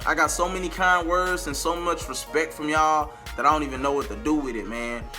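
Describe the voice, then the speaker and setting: A man's voice: a little high, steady tone, fairly quick, pushed and bright, faint music behind. A man telling a personal story to his viewers in a casual, emphatic way, repeating phrases for emphasis. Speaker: vlogger and R&B singer.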